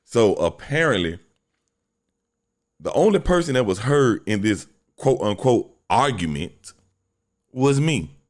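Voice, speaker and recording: A young man hosting a solo podcast, talking bluntly and profanely in a deep voice, telling a story with lots of animation. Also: big pitch swings, slow pace, pauses often. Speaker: podcast host.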